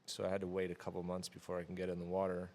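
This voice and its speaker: A man in his thirties from the Florida Keys, talking casually as an interview guest in a relaxed, rambling way with many fillers. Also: sits low, racing. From Florida Keys, USA. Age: 30s. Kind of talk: casual conversation.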